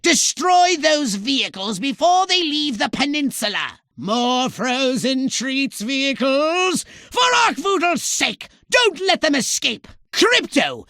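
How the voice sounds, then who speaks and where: Scheming alien commander, high and piercing, wildly animated, pompous, sarcastic, melodramatic, video game villainy. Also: male voice, takes it slowly, hardly any pauses, dry recording, clean studio sound, heavily acted. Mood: agitated, scolding. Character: shrill, bossy, scheming alien commander giving mission orders. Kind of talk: theatrical video game character acting.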